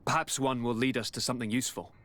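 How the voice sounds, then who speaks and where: A man playing an affectionate young governor in a video game, with a theatrical, lively manner. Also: mid-range voice, rapid-fire, lightly acted. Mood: pensive, troubled. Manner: theatrical, lively, swinging to whispers. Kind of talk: video game cutscene acting.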